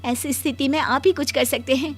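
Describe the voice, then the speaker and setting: An anxious young-sounding nurse with a high voice, acted by a woman in a cartoon moral tale. She speaks in a pleading, deferential way over faint music. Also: fairly even intonation, a little fast, lightly acted. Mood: urgent, pleading. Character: anxious, respectful female night-shift nurse. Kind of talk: Hindi moral cartoon story voice acting.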